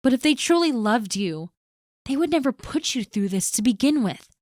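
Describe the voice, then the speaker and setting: A woman performing a scripted audio roleplay, gently and earnestly reassuring a friend who is spoken to directly. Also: a little high, very animated, normal speed, dry recording, clean studio sound.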